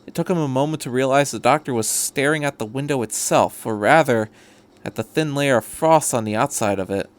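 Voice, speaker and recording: Narrator: male podcast reader, medium pitch, scripted storytelling. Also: fairly quick. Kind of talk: scripted narration of fan fiction.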